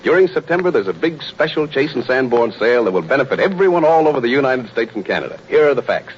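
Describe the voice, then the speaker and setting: A man's voice: medium pitch, big pitch swings, fast talker, highs cut off, a little hiss or hum. A man presenting from a script in 1930s radio host style, on an old recording. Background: music.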